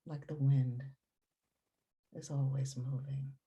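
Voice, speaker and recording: A woman leading a guided meditation in a calm, soothing, unhurried manner with long pauses and a soft, breathy voice. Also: a bass voice.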